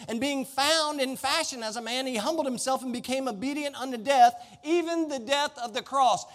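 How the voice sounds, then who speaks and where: A man preaching a sermon as a pastor, speaking emphatically with folksy direct address and repeated phrases. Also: sits high. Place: large indoor room, preaching to a congregation. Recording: reverberant hall with long echo.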